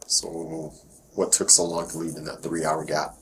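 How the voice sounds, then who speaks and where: A man working as a police detective, asking questions informally in a low-key, reassuring way. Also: monotone, moderate pace. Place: police questioning, recorded on bodycam. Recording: noisy police bodycam audio.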